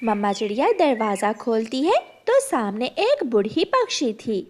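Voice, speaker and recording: A woman's voice: on the high side, very animated, unhurried, darkish tone. A woman narrating a scripted children's story.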